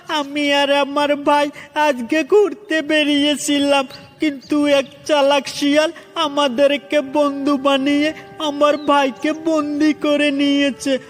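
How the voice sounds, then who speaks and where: A man's voice: extremely high pitch, a bit bright, pitched above the performer's own voice, heavily acted. A man voicing a frightened little bird child in a children's cartoon, pleading tearfully and repeating words. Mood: urgent, worried. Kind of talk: Bengali children's cartoon storytelling. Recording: heavy reverb with music.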